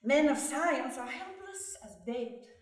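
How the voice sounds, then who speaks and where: A woman's voice: high-pitched, expressive, takes it slowly. A woman playing a gleefully grotesque chorus of doom in a theatrical dramatic reading, declamatory in manner. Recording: very echoey room, distant mic, noise.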